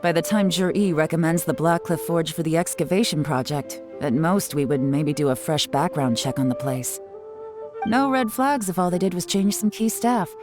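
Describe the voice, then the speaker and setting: A woman's voice: low voice, normal speed, over music, natural voice. A woman playing a cool, shrewd female intelligence operative in a video game, composed, confident and knowing. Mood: analytical. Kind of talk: video game character acting.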